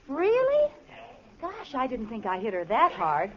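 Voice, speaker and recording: An actress playing a flighty, guilty but good-hearted housewife in 1940s radio comedy, with a coy, theatrical innocence. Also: female voice, high-pitched, wildly swooping pitch, a little slow, heavily acted. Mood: guilty, chatty. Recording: dull, noisy old radio recording.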